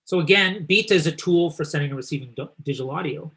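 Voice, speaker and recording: A chatty, upbeat man with a Canadian accent hosts a live online session, speaking in a voice pitched a little higher than most men's. He sounds like he's talking over a video call. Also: quick pace, fairly bright tone. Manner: chatty and upbeat, teasing his guest. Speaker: host and company founder. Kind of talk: live online talk and presentation.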